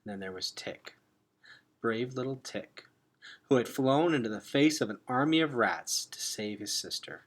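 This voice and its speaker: A man reading a children's novel aloud with expressive, dramatic emphasis, sometimes hushed. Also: medium pitch, normal speed. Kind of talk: expressive storybook read-aloud.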